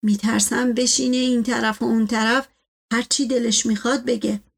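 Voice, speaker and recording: A woman's voice: on the high side, flat delivery, vocal fry, breathy edge. An imperious older patriarch from a storybook , as a woman narrator puts him on. He speaks sternly and pompously, with indignation. His temper is proud and suspicious. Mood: suspicious, brooding.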